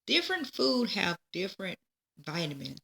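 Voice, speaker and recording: A woman reading a children's storybook aloud, with expressive, deliberate phrasing. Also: mid-range voice, gravelly, slight background noise. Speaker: storybook reader. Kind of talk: children's read-aloud narration.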